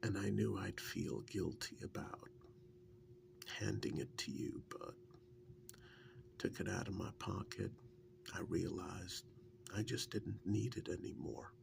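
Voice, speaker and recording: A man acts out a wry, fond lover in an intimate ASMR role-play. His low voice is heavily creaky and often sinks into a breathy whisper. He muses haltingly, with soft, affectionate irony. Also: heavily acted. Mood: fond, wistful. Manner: halting, musing, unhurried. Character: wry, affectionate kidnap victim fondly reminiscing to partner.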